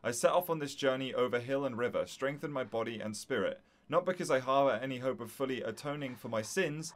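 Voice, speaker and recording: A man who makes gaming videos, speaking in a casual playthrough style with friendly asides. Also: mid-range voice. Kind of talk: casual playthrough commentary and letter reading.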